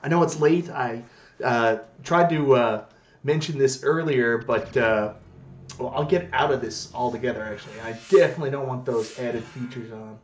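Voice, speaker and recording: A man's voice: mid-range voice, moderate pace. A Canadian man in his forties with a light accent, casually chatting on a live stream, greeting viewers and joking about his own skills. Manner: chatty, greets viewers by name, self-deprecating.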